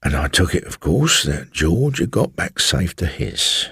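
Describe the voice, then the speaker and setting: A male reader plays an elderly working-class English manservant in a radio ghost story. The voice is low and very rough, close and dry. He is sly and brooding, with slow, insinuating phrasing that swings widely in pitch. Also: big pitch swings, fairly bright tone, dry recording, exaggerated voice. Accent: English, rustic working-class. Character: sly elderly former manservant, evasive and brooding, recounting a haunting.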